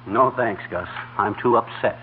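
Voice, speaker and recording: A man's voice: lowish voice, moderate pace, natural voice. An actor playing a blustering, indignant American husband who grumbles and tangles his words in an old radio comedy. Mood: gloomy. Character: blustering, indignant, hapless middle-aged husband. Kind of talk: 1940s radio comedy acting. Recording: noisy, tinny 1940s radio recording.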